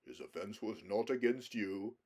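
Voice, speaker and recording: A majestic, grave lion king acted out by a man reading a storybook speaks in a low voice. He is calm and measured, every phrase carrying command.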